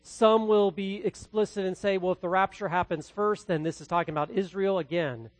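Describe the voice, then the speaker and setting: A man from the Midwest with a Midwestern accent, preaching a verse-by-verse sermon as pastor, swinging between loud and quietly hushed delivery. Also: sits high, a little fast, dry recording. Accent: Midwestern American. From American Midwest. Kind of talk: expository sermon.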